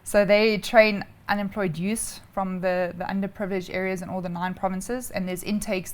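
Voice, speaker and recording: A woman with a South African accent speaks as an interview guest. Her pitch sits in the middle range. She explains her work in simple steps, with a chatty, informal delivery. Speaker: interview guest, air traffic controller.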